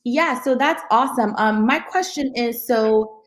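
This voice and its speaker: A woman's voice: a little high, measured pace. A woman hosting a casual Q&A, working through her question with hesitant fillers and restarts. She speaks over a video call. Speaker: interviewer.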